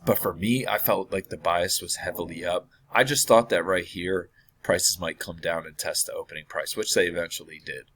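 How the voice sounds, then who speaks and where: Man, deep-voiced, hoarse from illness, casual thinking-aloud chart review with throat clearing. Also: normal speed, a bit bright. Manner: thinking aloud, hesitant with many fillers and self-corrections. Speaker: trading analyst.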